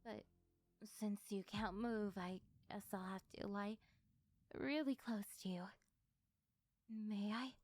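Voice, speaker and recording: Woman acting a slow, lonely, yearning demon girl. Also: medium pitch, no room sound, natural voice. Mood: tender, hopeful. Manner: hesitant, halting, soft-spoken pleading. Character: lonely, needy female sleep paralysis demon, yearning to be real. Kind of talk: intimate ASMR roleplay acting.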